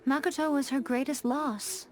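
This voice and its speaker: An actress playing a sly, elegant fox shrine maiden in a video game, speaking in composed, articulate and playfully teasing phrases. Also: female voice, sits high, steady tone, normal speed, darkish tone, lightly acted. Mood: calm, reflective. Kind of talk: scripted video game dialogue. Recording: game music behind the voice.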